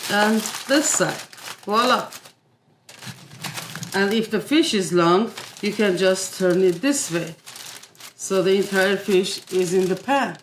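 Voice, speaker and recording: A woman from Iran demonstrating a family recipe in her kitchen, explaining each step with frequent pauses and filler sounds. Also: mid-range voice, background noise. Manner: unhurried, many filler "uh"s. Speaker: home cook. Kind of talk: cooking demonstration. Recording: echoey room.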